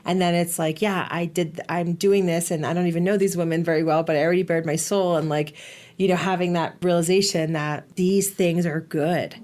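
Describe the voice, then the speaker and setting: Brief: woman host, lower pitch, earnest and explanatory, reflective commentary. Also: steady tone, brisk, darkish tone. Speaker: podcast host.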